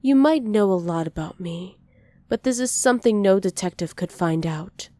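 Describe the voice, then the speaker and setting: Woman acting a mellow, possessive villainess. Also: medium pitch, expressive, moderate pace, natural voice. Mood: brisk, warning. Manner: soft, teasing menace with breathy whispered endearments. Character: dominant, possessive female villain doting on a captive. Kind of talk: ASMR roleplay.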